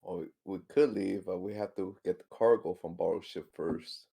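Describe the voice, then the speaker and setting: A man's voice: low voice, normal speed, creaky, dark tone, breathy edge. A man playing a tabletop roleplaying game, speaking casually with the other players.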